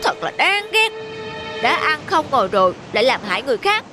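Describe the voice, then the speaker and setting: An actor voicing a grumpy, lazy, resentful fishing boat in a cartoon, grumbling sullenly. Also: male voice, very high-pitched, very animated, a little fast, music in the background. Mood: angry, scornful.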